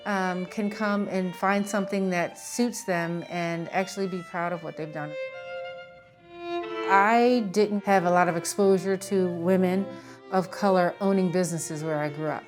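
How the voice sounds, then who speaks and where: A woman's voice: fairly low pitch, fairly even intonation, measured pace. A middle-aged American woman talking casually in an interview as a small business owner. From Philadelphia, United States. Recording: music playing behind the voice. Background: string music.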